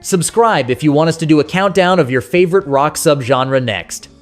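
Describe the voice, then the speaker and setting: Nostalgic male countdown narrator, higher-pitched voice. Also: normal speed. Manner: nostalgic, colloquial storytelling. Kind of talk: scripted music countdown narration. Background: soft rock music behind the voice.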